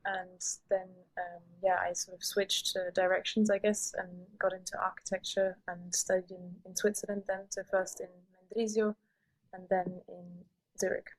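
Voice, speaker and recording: A woman in her thirties from Sweden, an architect, answering podcast questions calmly and hesitantly with many fillers. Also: mid-range voice, normal speed, low-quality recording. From Sweden, raised in several countries. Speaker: architect, guest.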